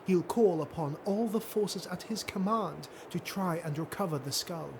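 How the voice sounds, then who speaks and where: Man voicing a haughty, higher-pitched dark elf noblewoman. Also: normal speed, vocal fry, noisy background. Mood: urgent, commanding. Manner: imperious, taunting. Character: defiant, haughty female dark elf noble, scheming half-sister.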